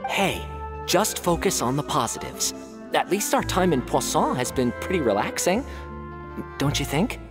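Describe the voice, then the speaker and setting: Easygoing, friendly youth, anime game: low register, very wide swings, tentative chatter. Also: female voice, big pitch swings, moderate pace, music in the background. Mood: upbeat. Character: friendly, chatty, easygoing young person, upbeat. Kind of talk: anime video game voice acting.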